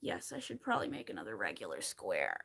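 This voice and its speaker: Bright-voiced chatty woman from Pennsylvania. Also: rumbling low, wildly swooping pitch, quick pace, creaky, breathy edge. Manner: chatty, rambling, wry asides, answers chat comments. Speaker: crochet livestream host. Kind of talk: casual chat while crocheting on a livestream.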